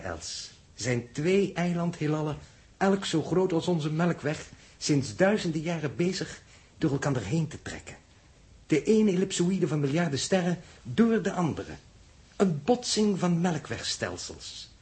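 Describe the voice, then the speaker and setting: An actor playing a coolly fatalistic, learned mathematician aboard a spaceship, lecturing in formal, pedantic phrases in a 1960s Dutch radio drama. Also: male voice, on the high side, a little mellow, husky, full character voice. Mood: awed, intense.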